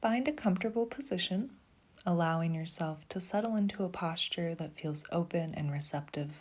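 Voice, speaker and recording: A woman leading a guided meditation in a soft, slow, soothing voice, often dropping to a breathy half-whisper. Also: on the low side. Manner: slow, soothing, even pacing.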